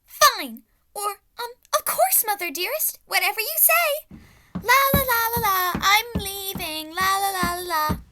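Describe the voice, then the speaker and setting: A woman's voice: very high voice, lively intonation, a little slow, pushed and bright, slight background noise, full character voice. A young woman playing a falsely sweet little girl with a surly streak in a student radio play, overly polite and sing-song. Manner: sing-song, exaggerated politeness slipping into surliness.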